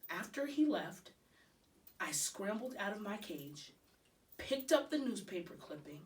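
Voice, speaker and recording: A woman reading a children's novel aloud expressively, phrase by deliberate phrase. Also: fairly low pitch, distant mic. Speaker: storybook reader.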